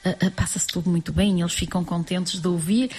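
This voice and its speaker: A woman's voice: lowish voice, fairly even intonation, brisk, darkish tone, slightly rough. A middle-aged woman radio presenter with a Portuguese accent, chatting in a panel and repeating phrases for emphasis. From Portuguese emigrant living in France. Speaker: emigrant community radio presenter. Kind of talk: casual panel conversation.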